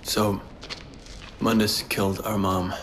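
A man's voice: fairly low pitch, level tone, slow, a little hiss or hum. A man playing a brash young demon hunter in a video game, terse, cool and cocky in delivery. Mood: flat, guarded. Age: young adult. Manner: blunt, laconic, cool. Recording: echoey, loud music underneath.

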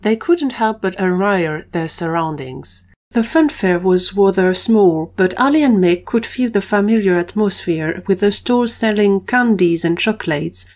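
A woman's voice: mid-range voice, darkish tone. A woman reading scripted narration for an audio adventure story.

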